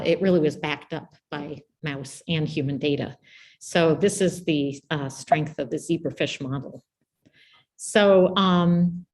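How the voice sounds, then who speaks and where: A soft-spoken middle-aged woman with a quiet voice, giving a friendly, informal public science talk as guest speaker. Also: lowish voice.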